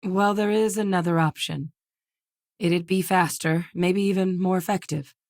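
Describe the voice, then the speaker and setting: An actress voicing a seasoned, determined female military xenobiologist in a video game, speaking in a measured, earnest, matter-of-fact way. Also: on the low side, steady tone, darkish tone, no echo, clean studio sound. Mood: grave, focused. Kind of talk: scripted game dialogue.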